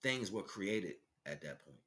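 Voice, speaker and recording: A man's voice: sits low, animated, unhurried, pushed and bright. A man giving an impromptu spiritual talk as a teacher, speaking emphatically in short, repetitive bursts. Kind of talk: impromptu spiritual monologue, preaching.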